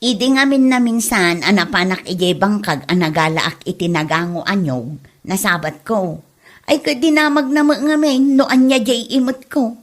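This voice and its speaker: A woman's voice: mid-range voice, moderate pace, close to an everyday voice. A woman playing a nosy gossiping villager in an Ilocano comedy drama, chatty and exclaiming, with an Ilocano accent. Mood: gossipy.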